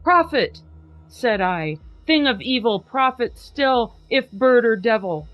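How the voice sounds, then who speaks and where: A woman hosting a podcast, giving a slow, emphatic dramatic reading of a poem over a video call. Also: a little high, expressive, no echo, lo-fi sound, soft background music. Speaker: podcast host.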